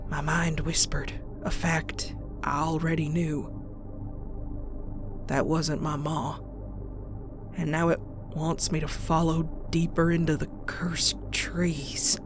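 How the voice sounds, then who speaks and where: A woman voicing a young country pony, frightened but stubborn, narrating a horror story in a hushed, folksy, suspenseful way. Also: deep voice, little pitch movement, slow pace, over music. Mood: creeping dread. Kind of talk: dramatic first-person horror narration.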